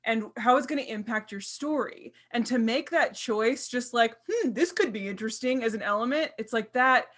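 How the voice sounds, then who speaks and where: Higher-voiced woman, emphatic and halting. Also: fairly bright tone. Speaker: podcast panelist. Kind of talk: casual discussion.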